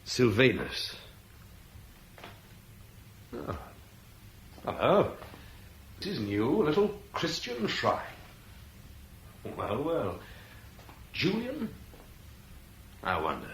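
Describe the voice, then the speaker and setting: A weary Roman tribune. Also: male voice, medium pitch, huge pitch swings, very slow, pauses often, a little hiss or hum, exaggerated voice. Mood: musing. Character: weary middle-aged Roman tribune officer. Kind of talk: 1960s BBC radio drama acting.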